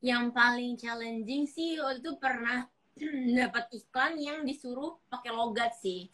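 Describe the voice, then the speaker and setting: A young woman from Java, a voice-over talent, chatting in a casual interview in a lively way. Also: on the high side. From Java, Indonesia. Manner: chatty, lively, drops into quick voice impressions.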